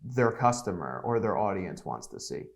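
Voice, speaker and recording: A man's voice: low voice, very animated, moderate pace, gravelly. A man in his twenties, a guest giving blunt, candid business advice in a casual podcast chat. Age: 20s. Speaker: podcast guest, agency CEO.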